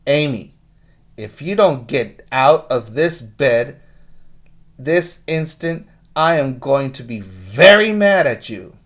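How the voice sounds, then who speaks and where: A man's voice: medium pitch, very animated, slow pace. A man voicing a stern, exasperated father from a children's storybook, firm and emphatic.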